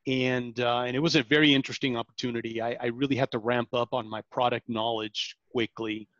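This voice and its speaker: A man in his forties from Venezuela speaks as a podcast guest, pausing often on ums and restarting his sentences. The sound is dull and a bit lo-fi, with a dry, close feel. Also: medium pitch, level tone, fairly bright tone, no echo. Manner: halting, many ums and restarts. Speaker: sales director, podcast guest. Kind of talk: interview conversation.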